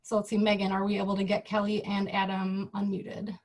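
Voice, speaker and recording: A woman hosting an online library event, reading out an award announcement in a clear, formal manner. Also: medium pitch, monotone, brisk. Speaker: event host presenting an award. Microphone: video call.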